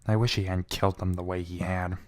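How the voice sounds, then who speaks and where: A man's voice: sits low, level tone, fairly quick. A man giving a brooding, theatrical first-person reading of a fan fiction story. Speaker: amateur fan fiction reader.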